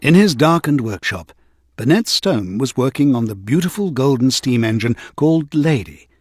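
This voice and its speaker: A male narrator tells a children's tale in an expressive storytelling style. His pitch moves widely and his voice has some huskiness. The recording is dry and close. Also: moderate pace, no echo. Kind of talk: scripted children's read-along story narration.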